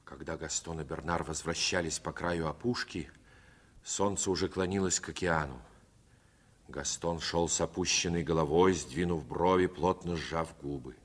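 A man narrating a radio play in a measured, even way. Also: rumbling low, long reverb tail. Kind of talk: scripted narration.

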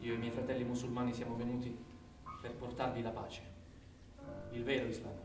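An actor playing a devout, earnest young Taliban suitor in a stage drama, speaking solemnly. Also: male voice, medium pitch, flat delivery, brisk, lightly acted. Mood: earnest, pious. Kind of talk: theatrical stage drama acting. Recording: echoey distant stage recording over guitar music.

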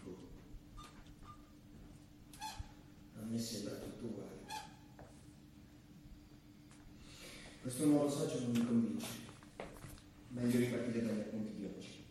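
A man's voice: medium pitch, brisk, close to an everyday voice. A man playing a lonely, resigned, self-doubting character who mutters to himself in a stage monologue. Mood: doubtful. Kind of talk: theatrical monologue. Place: theatre stage, live performance. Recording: distant, reverberant theatre stage, faint noise.

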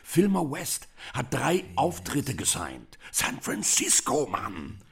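Husky, placating rock manager. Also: male voice, mid-range voice, wildly swooping pitch, slow, full character voice. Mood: eager, placating. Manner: repeats words, soothing, glossing over problems. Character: cajoling, placating British rock band manager. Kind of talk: German radio play acting.

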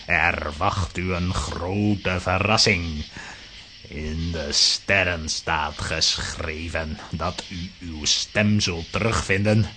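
An actor playing a grave fortune-telling astrologer in a children's audio play, ceremonious and portentous. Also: male voice, sits low, pushed and bright, a little hiss or hum, exaggerated voice.

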